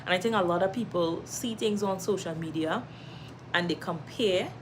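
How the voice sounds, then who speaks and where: A woman's voice: lowish voice, normal speed, noisy background. A Trinidadian woman hosting a casual livestream at home, reading viewer comments, laughing often and giving encouragement. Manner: warm-hearted, encouraging, laughs often, reads comments aloud. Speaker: live stream host.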